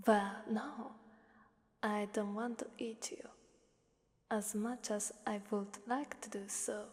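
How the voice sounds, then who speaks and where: A menacing female demon, acted by a woman speaks in a high, breathy voice that often sinks to a whisper. She takes her time, teasing and looking down on her listener with bored, playful cruelty. Long reverb surrounds every phrase in this ASMR roleplay, like a deep cave. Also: darkish tone. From Italy. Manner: slow, teasing, condescending, drawn-out pauses.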